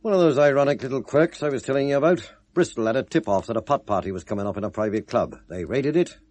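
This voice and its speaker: A man's voice: medium pitch, expressive, quick pace, darkish tone, no echo, close to an everyday voice. A man acting in a 1970s British radio drama, playing a dry, world-weary police detective who questions probingly. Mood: wry, probing. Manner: wry, questioning. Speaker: actor in a radio play.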